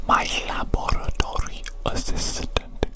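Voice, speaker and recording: A performer voicing an evil mad scientist doctor who dotes on a monstrous creation, in a whispered ASMR roleplay, repeating phrases in a fussy, coddling way. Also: lightly acted. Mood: doting, concerned. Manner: slow, repetitive, coddling, fussy.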